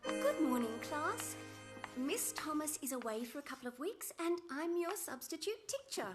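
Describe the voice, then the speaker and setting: A woman voicing a prim, strict and theatrical substitute schoolteacher in an animated comedy. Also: high voice, moderate pace, music in the background. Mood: prim, cheerful.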